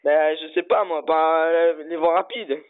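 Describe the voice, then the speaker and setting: Pushy prank caller on a phone line. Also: male voice, a little high, steady tone, clear, resonant tone. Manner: stubborn, halting, absurd demands.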